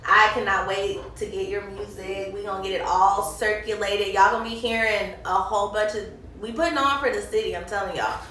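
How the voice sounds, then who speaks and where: A woman's voice: medium pitch, steady tone, brisk, few pauses, pushed and bright. A woman hosting an interview in casual, slangy, chatty talk. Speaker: interviewer and host. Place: echoey room at a mixer event. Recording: very echoey room, distant mic, faint noise.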